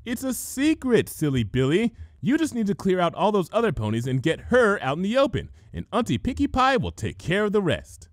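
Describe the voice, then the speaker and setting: A man voicing an excitable, playful young female pony with a childish, cheerful manner in a storybook reading. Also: high-pitched, brisk, hardly any pauses, no echo, clean studio sound, voice pushed up. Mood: joyful, playful. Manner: cheerful, sing-song, childish.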